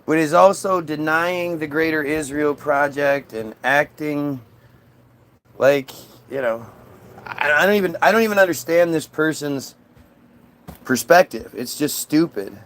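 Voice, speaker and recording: Guy on a livestream arguing w chat, kinda higher voice, sweary and dismissive, casual rant. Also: unhurried, a bit bright, slight background noise. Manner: combative, dismissive; frequent swearing. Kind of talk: casual political rant, replying to chat comments.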